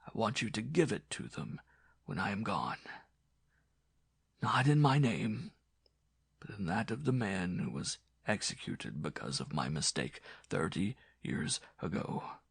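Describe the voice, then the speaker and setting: A man voicing a dying old former prosecutor who confesses his guilt in a grave, halting, formal way. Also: fairly low pitch, sing-song, creaky, slightly rough, no echo, studio quality, heavily acted. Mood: solemn, pleading. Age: older.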